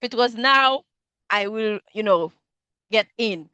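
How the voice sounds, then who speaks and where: A woman's voice: a little high, a little slow, bright, forceful tone, clear, resonant tone, no room sound. A woman in her thirties from Haiti, a Haitian Creole speaker with a Haitian accent, giving a rambling answer with frequent laughs and fillers during a video-call conversation class. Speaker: student participant. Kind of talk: casual conversation club answer.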